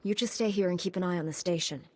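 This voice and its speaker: An actress playing a composed, dutiful female knight in a video game, measured and matter-of-fact, with faint background noise. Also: on the low side, a little mellow, natural voice. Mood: composed, resolute.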